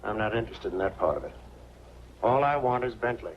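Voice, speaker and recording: A man's voice: lowish voice, animated, brisk. An American actor playing a dogged, wry investigator on a man's trail in a 1940s film noir. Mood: probing, direct. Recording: muffled, noisy 1940s film soundtrack.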